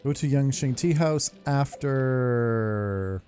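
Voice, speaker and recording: A man's voice: medium pitch, slow, mellow. A man giving casual, muttered commentary as he plays through a video game. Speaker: gamer, playthrough host. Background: faint game music.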